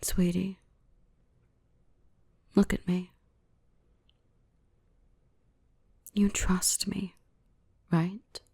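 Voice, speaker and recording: A woman plays a gentle dark elf woman in an intimate ASMR roleplay. Her voice is lowish and soft, with a touch of gravelly fry, often dropping into breathy whispers. She speaks very slowly, soothing and tenderly possessive. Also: full character voice. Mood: affectionate, intimate.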